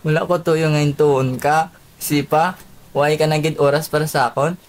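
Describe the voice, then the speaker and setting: A man's voice: fairly high pitch, fairly even intonation, normal speed, natural voice. A man acting an apologetic cheating boyfriend with a Filipino accent in an amateur student radio drama. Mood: defensive, apologetic.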